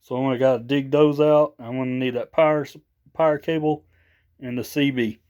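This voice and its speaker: A man's voice: mid-range voice, steady tone, brisk, a little mellow, clear, resonant tone. A slightly tired man, rambling casually in a folksy, unscripted show-and-tell for a vlog. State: a little tired after work. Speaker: vlogger showing off a new CB radio.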